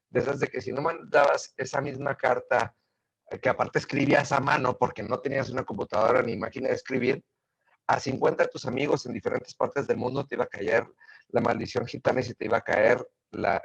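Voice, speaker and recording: A middle-aged man, a guest in a casual interview, telling stories in an animated way with many fillers and restarts. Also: mid-range voice, gravelly, breathy edge, dull audio.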